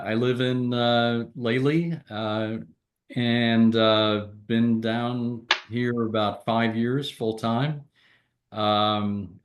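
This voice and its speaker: A man, middle-aged or older, introducing himself on a group video call in a halting, casual way. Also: on the low side, background noise. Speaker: volunteer mentor, part-time college professor.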